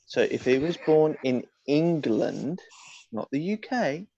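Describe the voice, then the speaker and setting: Insistent man with a lively raised pitch. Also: slow, creaky, dull audio. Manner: insistent, wordy, repeats himself when interrupted. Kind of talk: casual group chat.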